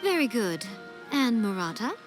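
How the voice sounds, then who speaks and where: A woman playing a poised, sly noblewoman in a video game, measured, playful and commanding. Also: on the high side, big pitch swings, faint music behind. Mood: composed, commanding.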